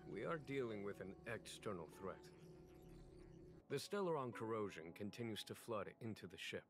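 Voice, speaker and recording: Actor as a calm, low-voiced, shrewd general. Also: male voice, natural voice. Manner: measured, musing, authoritative. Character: calm, shrewd male general, unhurried and quietly amused. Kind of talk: scripted video game voice acting. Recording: background music under the voice.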